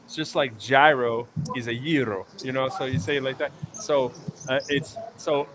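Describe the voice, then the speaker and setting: A man's voice: medium pitch, fairly even intonation, normal speed, noisy background. A man hosts a casual live stream from a hotel stay, winding through stories. He keeps restarting his sentences and filling the gaps with "you know" and "um" in a mid-range voice. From United States East Coast. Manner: rambling storyteller with many fillers and restarts. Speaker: show host. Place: hotel area in New York City.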